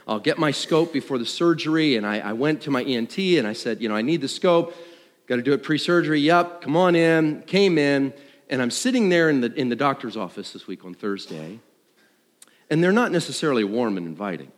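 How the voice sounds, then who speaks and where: Male worship leader, mid-pitched, conversational and self-deprecating storyteller, live in a big echoing hall. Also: fairly quick. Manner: animated storyteller, acting out dialogue and thoughts. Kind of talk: personal testimony told to a congregation. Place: large room, speaking to an audience. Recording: live hall with long reverb.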